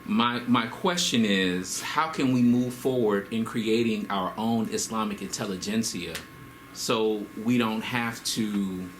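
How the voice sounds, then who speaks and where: Guy in the crowd asking a question, kinda low voice, hesitant, bit of background noise. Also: fairly bright tone, poor audio. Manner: hesitant, halting, feeling for words. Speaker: audience member asking a question. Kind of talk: spontaneous question at a live talk. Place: indoor hall, in the audience.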